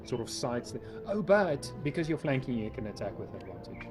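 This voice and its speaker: A man's voice: mid-range voice, big pitch swings, a little fast. A man running a tabletop game as game master, talking casually and somewhat hesitantly as he narrates and makes rulings. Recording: background music under game talk. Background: music.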